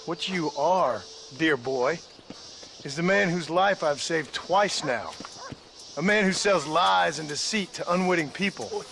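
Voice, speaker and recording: Game casting: threatening frontier gunman, fairly high voice, deliberate delivery, clear insect noise. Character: menacing, tough Western gunslinger man. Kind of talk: video game character acting.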